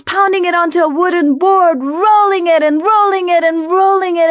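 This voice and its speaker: Woman, American accent, slightly high pitch, unhurried dramatic storytelling, dry sound. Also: no room sound. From grew up in Virginia, United States. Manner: deliberate, dramatic, with repeated phrases drawn out. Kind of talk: expressive oral storytelling of a family folk tale.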